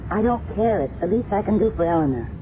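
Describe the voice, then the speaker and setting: A woman's voice: medium pitch, animated, quick pace, natural voice. Woman acting brave earnest young lady, seventies radio drama, muffled and noisy. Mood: earnest, resolved. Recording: dull, noisy old radio recording.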